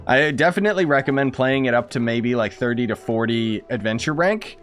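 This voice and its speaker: A man's voice: mid-range voice, expressive, fairly quick, fairly bright tone, soft background music. A young man giving offhand, slangy live gameplay commentary while chatting to his viewers. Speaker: game streamer.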